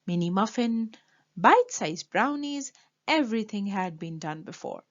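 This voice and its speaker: Female storyteller for kids' picture books: unhurried, emphatic read-aloud with big swings in pitch. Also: muffled recording. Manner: animated, emphatic delivery for children. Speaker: storyteller reading a children's book.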